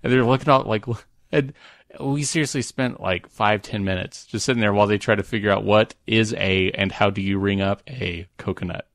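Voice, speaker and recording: A man's voice: fairly low pitch, fairly even intonation, a little fast, a bit bright, no room sound, studio quality. A man co-hosting a podcast, chatting casually and telling rambling anecdotes in a jokey way. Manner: jokey, prone to chuckling. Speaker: podcast co-host.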